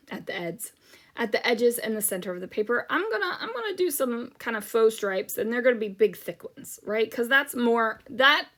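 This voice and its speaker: A woman's voice: fairly high pitch, a bit bright. A middle-aged woman hosting a scrapbooking channel, chatting casually and rambling with lots of ums, her voice a little worn from talking. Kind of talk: casual crafting chat over a process video.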